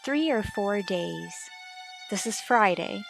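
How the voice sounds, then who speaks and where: A woman's voice: mid-range voice, expressive, vocal fry, soft background music. Guarded, formal radio-soap mother. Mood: quietly defiant. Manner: formal, measured, polite. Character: anxious, determined married mother, politely guarded. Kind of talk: vintage radio soap opera acting.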